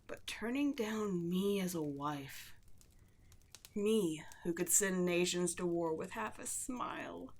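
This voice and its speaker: A woman playing a seductive, condescending demon queen in a scripted ASMR roleplay, gloating at the listener and dropping at times into breathy whispers. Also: lowish voice, lively intonation, a little mellow, exaggerated voice. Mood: wounded, offended. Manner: slow, taunting, condescending, drops into breathy whispers.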